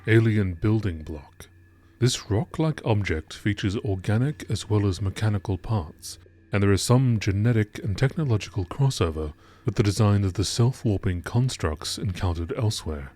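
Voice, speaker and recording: A man narrating scripted science-fiction lore entries in a measured, formal documentary style. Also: lowish voice, darkish tone.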